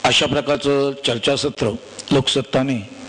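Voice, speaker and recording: A man's voice: mid-range voice, fairly even intonation, measured pace, a bit bright, a little hiss or hum, poor audio. A man with a Maharashtrian accent giving a formal panel speech as a politician, wordy and repetitive, leaning on facts and figures. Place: live public panel event.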